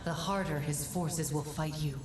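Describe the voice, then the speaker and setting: An actress voicing a grave female guide who counsels a warrior in a fantasy game, measured and serious. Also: sits low, steady tone, a little hiss or hum, natural voice. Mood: grave warning. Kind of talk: scripted fantasy game acting. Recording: roomy echo under loud game music.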